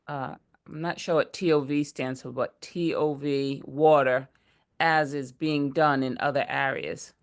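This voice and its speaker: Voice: mid-range voice, fairly even intonation, measured pace. A moderator reading out audience questions from a chat over a video call, with brief asides. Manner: reads chat comments aloud, brief asides.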